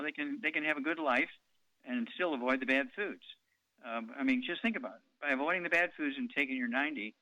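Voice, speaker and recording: A man's voice: medium pitch, pushed and bright, no room sound, dull audio. A man in his eighties hosting a call-in radio show, talking in a rambling way with many ums and uhs. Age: 80s.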